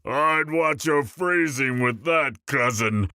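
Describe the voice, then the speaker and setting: A man voicing a boastful, bawdy male dwarf hunter with a gravelly voice, rowdy and loud. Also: mid-range voice, takes it slowly, dry recording, studio quality. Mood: boisterous. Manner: rowdy, boastful, jokey, shouts often. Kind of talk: fan audio drama roleplay.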